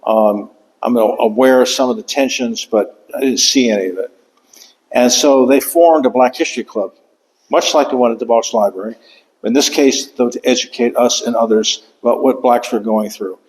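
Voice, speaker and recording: An older man speaks at a mid pitch, giving an author talk to a room. He talks in a halting way, full of fillers, restarts and asides. The sound rings with a long hall reverb. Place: lecture room.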